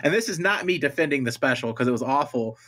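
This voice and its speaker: A man's voice: medium pitch, animated, quick pace, sharp tone. A man co-hosting a podcast, talking casually in an opinionated, exasperated way. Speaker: podcast co-host. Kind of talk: casual group discussion.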